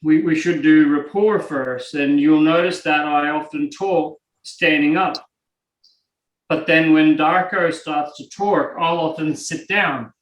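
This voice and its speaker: A man with an Australian accent teaching a live online coaching class in a lively, conversational way and acting out examples. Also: fairly high pitch, slow, distant mic. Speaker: NLP trainer. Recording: roomy and from off the mic.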